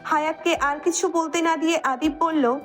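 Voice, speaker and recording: A Bangladeshi woman reads a romantic story as its narrator. Her voice sits high, and soft music plays faintly behind her scripted delivery. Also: steady tone, normal speed.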